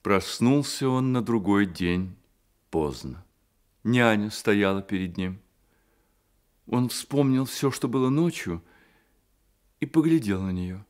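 A man narrating a literary radio drama as an expressive, measured storyteller. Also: on the low side. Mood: expressive storytelling. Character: storyteller of a boy's secret adventure.

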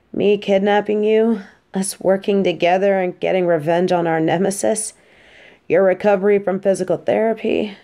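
A woman's voice: medium pitch, mellow, lightly acted. A woman playing an affectionate, teasing supervillain girlfriend in an intimate roleplay audio drama, speaking tenderly and playfully.

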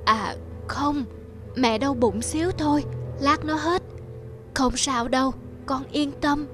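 A woman playing a poor, self-sacrificing elderly mother in an animated moral tale, patient, plaintive and weary. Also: sits high, slow pace, over music. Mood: tired, gentle.

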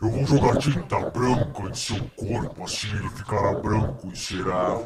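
An actor in an audio drama playing a sadistic mad experimenter with a gloating, menacing manner. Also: male voice, on the low side, normal speed, over a noisy crowd, natural voice. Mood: cold menace. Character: sadistic male villain, a racist mad experimenter and taxidermist. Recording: loud music behind the voice. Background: dramatic music.

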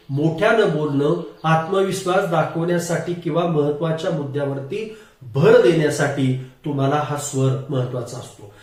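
A man giving a formal, explanatory lesson as a public speaking coach, in measured teacher-like phrasing. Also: high-pitched. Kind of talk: instructional lecture to camera. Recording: very echoey room, distant microphone.

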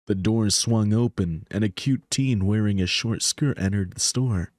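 A man's voice: on the low side, measured pace, a little mellow, no room sound. A man reading scripted story narration for a visual novel. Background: background music.

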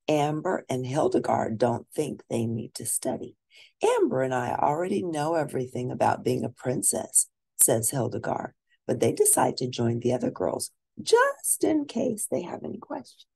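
A woman reading a children's storybook aloud expressively, with drawn-out emphasis for young listeners. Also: very deep voice, very animated, vocal fry, darkish tone, breathy edge. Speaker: storybook reader.

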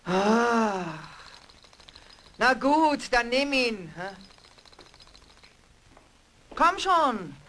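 Slow, menacing, bossy woman. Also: a little high, lively intonation, fairly bright tone, exaggerated voice. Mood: stern, bossy. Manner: slow, prodding, with trailing questions. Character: menacing, bossy woman ordering her dim accomplice Olaf. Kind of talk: German horror radio play acting.

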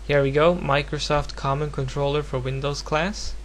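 A man from Norway speaking English as a second language with a Norwegian accent, giving a casual, unscripted software tutorial in a hesitant, rambling, self-deprecating way. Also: on the high side, steady tone, normal speed. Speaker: tutorial presenter. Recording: home recording with clear background noise and hum.

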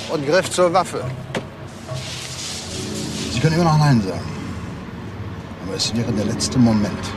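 A man acting a businesslike instigator of a crime in a radio drama. His mid-pitched voice gives clipped, methodical instructions, cool and controlled, with clear music underneath. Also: close to an everyday voice.

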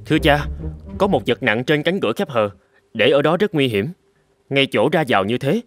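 A courteous young samurai voiced by a man talks gently and considerately. He is a cartoon eldest son with a mid-pitched voice over loud music. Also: expressive, normal speed, close to an everyday voice. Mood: concerned. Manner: polite, considerate. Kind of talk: dubbed cartoon storytelling.

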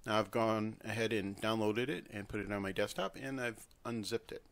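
A man presenting a casual software tutorial, talking informally through each step as he works at a computer. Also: fairly low pitch, moderate pace, creaky. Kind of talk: casual screen-recorded tutorial.

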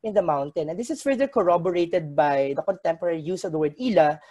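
Man lecturing in a mellow voice. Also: fairly high pitch, lively intonation, lo-fi sound. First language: Hiligaynon. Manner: measured, scholarly lecturing with frequent pauses. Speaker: academic presenter. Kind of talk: conference paper talk. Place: indoor room. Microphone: video call webcam.

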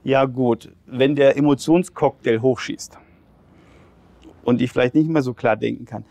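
Male host, gravelly voice, close and dry, quick halting questioner. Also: medium pitch, dark tone, no room sound. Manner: quick, hesitant restarts, frequent affirming hums. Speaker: interviewer host. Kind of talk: interview questions. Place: lounge with leather armchairs, indoors. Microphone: lapel mic.

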